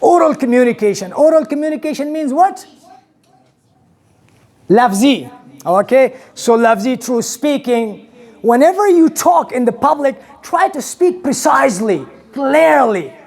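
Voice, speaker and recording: A man in his thirties from Afghanistan with an Afghan accent, giving an animated English lesson to a class in a small classroom, asking his students questions. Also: very high voice, a little slow. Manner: animated, gestures widely, asks the class questions. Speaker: English teacher. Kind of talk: classroom lecture. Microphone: camera across the room.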